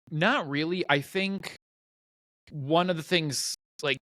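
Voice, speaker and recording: A man's voice: a little high, lively intonation, very slow, a bit bright, no room sound, studio quality. A man co-hosting a podcast, chatting casually and thinking aloud in a rambling way. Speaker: podcast co-host.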